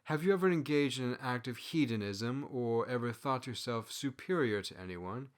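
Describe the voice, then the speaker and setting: A man voicing a calm, forgiving friar in a storybook, asking measured, probing questions. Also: medium pitch, lightly acted.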